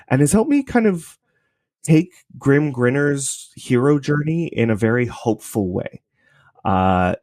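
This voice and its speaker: A man's voice: lowish voice, darkish tone, no echo, clean studio sound. A man talking casually as a podcast guest, explaining things in a rambling, self-correcting way. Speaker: podcast creator, interview guest. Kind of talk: casual interview chat.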